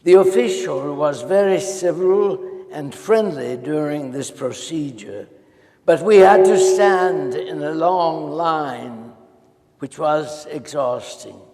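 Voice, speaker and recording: A man acting as a weary, humiliated teacher reading his diary on stage, with measured phrasing and weighty pauses. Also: on the high side, mellow. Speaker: stage reader in a dramatic reading. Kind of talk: theatrical diary monologue. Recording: echoey hall with long reverb.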